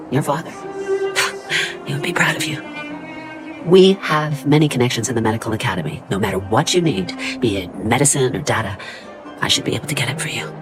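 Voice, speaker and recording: Kind old mother, expressive lilting pitch. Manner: tender, reassuring. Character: kind, forgiving elderly mother, proud and reassuring. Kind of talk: video game voice acting. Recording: music behind the voice. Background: music.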